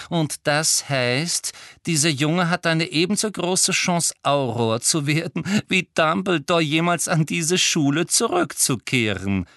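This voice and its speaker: Man putting on a shrill, prissy female official. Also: a bit bright, no echo, clean studio sound. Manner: affected, shrill, cold, indignant. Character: small, prissy, spiteful middle-aged female ministry official. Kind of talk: audiobook character acting.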